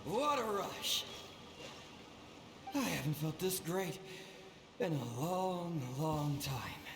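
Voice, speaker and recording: A man's voice: fairly high pitch, expressive, slow pace. Echoing, battle-hungry spirit swordsman. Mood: exultant. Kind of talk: video game voice acting. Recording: long echoing reverb.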